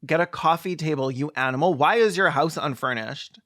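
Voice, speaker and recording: The voice is a snarky host with a middling pitch, delivering sarcastic film commentary. The manner is mocking and sweary, like a comedian picking a movie apart. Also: a little fast, fairly bright tone. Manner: snarky, foul-mouthed, mocking.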